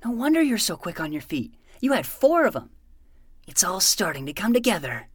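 A woman's voice: mid-range voice, big pitch swings, fairly quick, husky, no echo, studio quality. A woman voicing an earnest, precocious twelve-year-old boy in a fiction podcast, with a matter-of-fact manner. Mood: excited.

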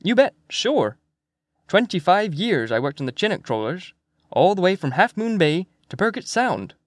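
A man's voice: sits high, very animated, moderate pace, no echo, studio quality, voice pushed up. A man voicing a sly, toothless, fussy old Swedish fisherman in an audiobook, sentences rising like questions. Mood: proud. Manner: questioning rise at sentence ends. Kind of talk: acted audiobook character dialogue.

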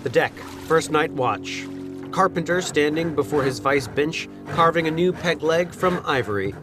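A man's voice: fairly high pitch, lively intonation, moderate pace, music in the background, natural voice. A man playing a narrator who sets shipboard scenes in clipped, declarative phrases for an audio drama. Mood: scene-setting. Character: stage-direction narrator setting shipboard scenes.

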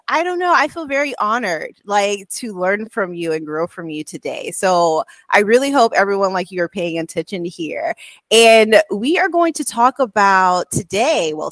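A woman's voice: mid-range voice, fairly bright tone, no echo. Bubbly woman hosting a podcast, dry sound. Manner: bubbly, says "like" a lot. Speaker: podcast host. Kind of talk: casual interview hosting.

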